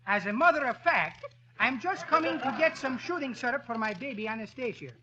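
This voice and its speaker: A cheerful Greek immigrant character from old radio comedy, high in pitch and thickly accented. He muddles his words and grammar happily. The recording is noisy and crackles with age. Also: expressive, fairly quick, highs cut off. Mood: cheerful, chatty. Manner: malapropisms and mangled grammar. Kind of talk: 1930s radio comedy acting.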